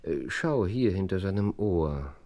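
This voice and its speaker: An actor playing a brisk, commanding detective in an audio drama, curt and imperious. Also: male voice, low-pitched, animated, highs cut off. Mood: keen, confident. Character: brisk, commanding, sharp-minded male detective. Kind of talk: German audio drama acting.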